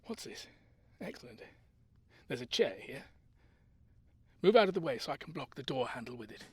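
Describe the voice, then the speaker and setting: A man playing an anxious but determined grandfather on the run in an audio drama, urgent and often dropping into hushed whispers. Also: mid-range voice, big pitch swings, moderate pace, husky, dry recording. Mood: hushed, tense. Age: older.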